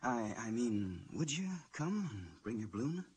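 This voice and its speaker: An eager young inventor in a 1940s radio drama. His mid-pitched voice moves up and down a lot, boyish and halting with earnest enthusiasm, on a noisy old recording. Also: sing-song, darkish tone.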